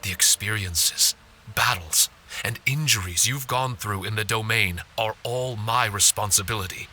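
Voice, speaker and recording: A man playing a tiny living paper spirit, earnest, formal and self-blaming, in an animated video game. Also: on the low side, measured pace, soft background music. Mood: troubled, earnest.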